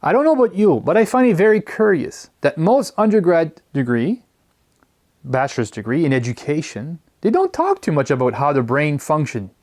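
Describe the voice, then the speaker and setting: Guy teaching a seminar, slightly high voice, bouncy and animated, leans on key words. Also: moderate pace. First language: French. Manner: lively, stretches and stresses key words. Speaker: teacher trainer. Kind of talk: lecture.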